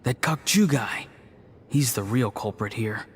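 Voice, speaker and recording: An actor voicing an earnest, gentle, dutiful young man in an anime fantasy game, polite and reassuring. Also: mid-range voice, measured pace, slight background noise, lightly acted. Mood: gentle, sympathetic. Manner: polite, reassuring, soft-hearted. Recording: long reverb, music underneath.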